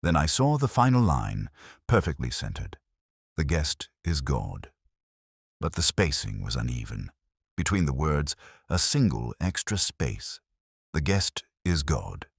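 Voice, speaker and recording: A man playing a weary, cerebral police inspector, narrating a detective audio drama in a measured, brooding, literary way with a gravelly voice. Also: rumbling low, sing-song, no echo, clean studio sound, exaggerated voice. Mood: grave, pondering.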